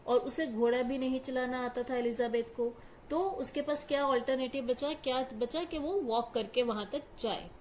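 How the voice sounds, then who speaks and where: A woman's voice: high-pitched, moderate pace, a little mellow, slight background noise. A woman teacher patiently explaining a story line by line, glossing each phrase in simple words. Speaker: English teacher.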